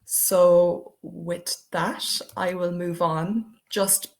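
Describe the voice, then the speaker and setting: A woman's voice: lowish voice, steady tone, extremely slow, darkish tone. A young Irish woman with an Irish accent presenting a webinar over a video call, chatty and full of fillers like "um" and "I suppose". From Ireland.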